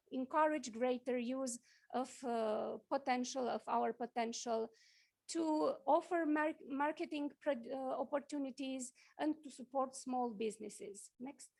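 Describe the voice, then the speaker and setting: A woman from Romania, giving a conference talk with slides, halting often with fillers and restarts. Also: high-pitched, vocal fry, a little mellow. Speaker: conference speaker. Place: conference hall.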